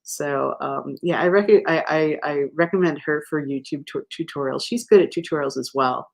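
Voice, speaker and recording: A woman's voice: deep voice, normal speed, vocal fry. A woman hosting a live crochet stream, chatting casually and rambling with many fillers, restarts and easy laughs.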